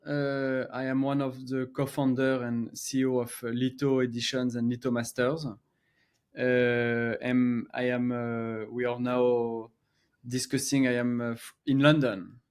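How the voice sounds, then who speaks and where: French-accented man, halting and hesitant. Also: mid-range voice, little pitch movement, darkish tone, smooth. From France. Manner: halting, many filler pauses and restarts. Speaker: co-founder and gallery guest. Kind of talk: podcast interview.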